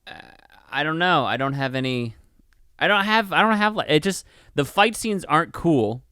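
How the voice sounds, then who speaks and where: A man's voice: fairly high pitch, expressive, normal speed, sharp tone, smooth, dry recording, clean studio sound. A man co-hosting a podcast in casual movie-review chat, slangy and profane, often riffing in mock quotes. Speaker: podcast co-host.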